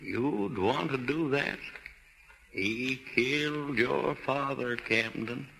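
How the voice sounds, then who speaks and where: A gruff yet tender older American uncle from the country. The voice is gravelly and sharply forceful, drawn out slowly with rustic hesitation. It comes from an old 1930s radio play with some background noise. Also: male voice, medium pitch, full character voice, poor audio. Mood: gentle, troubled.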